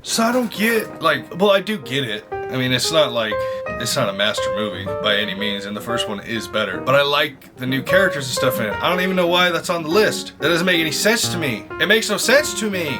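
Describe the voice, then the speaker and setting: A man's voice: mid-range voice, expressive, fairly quick. Casual young guy reacting over music. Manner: informal, slangy, exclaiming. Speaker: video host reacting to and ranking movies.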